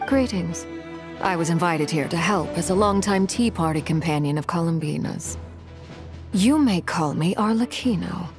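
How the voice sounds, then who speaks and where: A formidable, poised harbinger in a video game. Her voice sits fairly low, cool and measured, courteous yet guarded, over soft background music. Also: lively intonation. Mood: cool, knowing.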